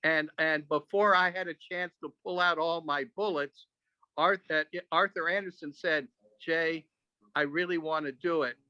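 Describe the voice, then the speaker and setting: A man telling earnest, halting reminiscences to a group over a video call. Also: on the high side, steady tone, pushed and bright, smooth, no echo. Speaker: speaker sharing memories at an online club meeting. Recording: dull, dry video call audio.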